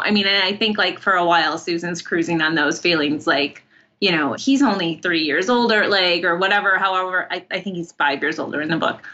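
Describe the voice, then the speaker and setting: A woman's voice: lowish voice, dull audio. A woman chatting casually as an interview guest, telling stories in a rambling way full of asides. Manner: rambling, animated storytelling with many 'like' asides. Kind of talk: casual chat.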